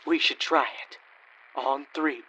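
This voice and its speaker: A man's voice: mid-range voice, fairly even intonation, slow pace, bright, forceful tone. A man voicing a cowardly, dim western gunslinger in a cartoon radio sketch. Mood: conspiring, nervous. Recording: dull, faintly noisy amateur recording.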